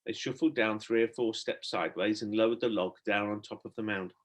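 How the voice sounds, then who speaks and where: A man narrating a children's storybook in an expressive, dramatic storytelling manner, like a teacher reading aloud. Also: lowish voice, a little fast.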